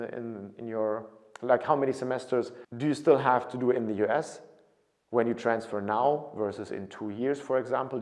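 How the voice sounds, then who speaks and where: A man's voice: mid-range voice, a little mellow. A man in his thirties with a German accent, talking to camera as a study abroad consultant, earnest and prone to restarts. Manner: earnest, with frequent restarts and repeated words.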